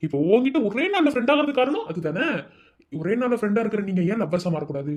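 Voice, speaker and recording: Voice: mid-range voice, very animated, fast, darkish tone, voice pushed up. A performer voicing a jealous, vengeful male college bully who keeps barking questions to browbeat a rival in a comedy sketch. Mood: menacing. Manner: bossy, drilling questions with "enna?". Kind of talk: comic sketch acting.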